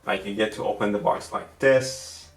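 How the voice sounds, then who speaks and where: A man with a Persian accent, a non-native English speaker, giving a casual gadget review in a halting way that often repeats words and restarts sentences. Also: on the low side, background noise. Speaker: tech reviewer. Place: room at a desk, indoors. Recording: roomy, distant mic with faint music.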